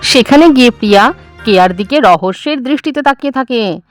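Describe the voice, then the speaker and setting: একজন নারী বাচ্চাদের ভূতের গল্প লিখিত পাঠ থেকে বর্ণনা করছেন।. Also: female voice, a little high, animated, brisk. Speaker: storyteller. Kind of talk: scripted children's horror story narration. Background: faint music.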